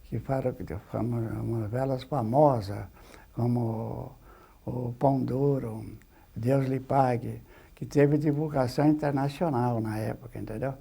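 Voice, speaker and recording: A man with a Brazilian accent reminiscing in an interview in a plain-spoken, halting way, repeating words. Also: medium pitch, steady tone, creaky, warm tone, breathy edge. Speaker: documentary interviewee.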